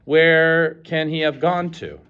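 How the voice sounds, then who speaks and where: A man's voice: a little high, steady tone, slow, clean tone, pitched above the performer's own voice. A man voicing an anxious man who asks where his friend has gone. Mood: worried. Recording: dull lo-fi recording.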